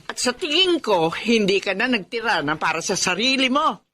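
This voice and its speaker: A woman's voice: on the high side, very animated, normal speed, sharp tone, full character voice. An actress playing a middle-aged Filipino mother in a radio drama, protective and exasperated, scolding in an emphatic, reproachful way. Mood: pained, reproachful.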